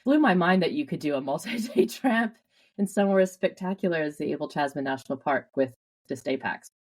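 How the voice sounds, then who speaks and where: Very low-voiced Canadian woman. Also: fairly quick. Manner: animated storyteller, laughs often. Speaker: guest. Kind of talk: casual interview.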